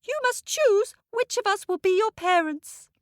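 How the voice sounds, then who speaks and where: A woman voicing a small, eager mole with a tiny squeaky voice. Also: animated, a little slow, no echo, studio quality.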